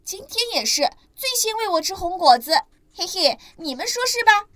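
A woman's voice: very high voice, sing-song, normal speed, fairly bright tone, no echo, clean studio sound, pitched above the performer's own voice, full character voice. A woman voicing a boastful little baby ankylosaur with a smug, childish way of talking.

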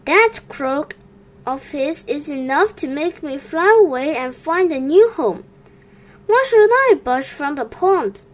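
A cranky male dragonfly in a children's storybook, wailing his complaints in a high, lifted voice, as a woman reads him. He drags out each grievance slowly. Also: animated. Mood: irritated.

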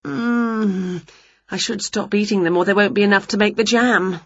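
A woman voicing an excitable, greedy, scatty woman from a children's storybook, gushing and exclaiming. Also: mid-range voice, moderate pace, no room sound, lo-fi sound, lightly acted. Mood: gleeful, chatty.

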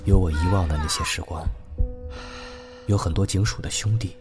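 A man's voice: deep voice, moderate pace, close to an everyday voice. An actor playing a reflective, tender man looking back on his life in a Mandarin radio drama. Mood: wistful. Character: man wistfully narrating a lost past, warm husband and father. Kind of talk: Mandarin radio drama acting with inner monologue. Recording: music under the voice.

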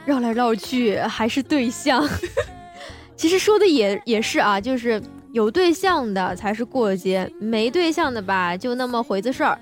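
A woman hosting a bantering radio chat show, playfully teasing and quick to laugh. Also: fairly high pitch, expressive. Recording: music bed under the talk.

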